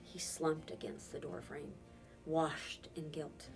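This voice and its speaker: A woman's voice: sits low, slow, faint music behind. Woman reading a novel aloud, distant mic. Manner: expressive, acts out the dialogue. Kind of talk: children's novel read-aloud.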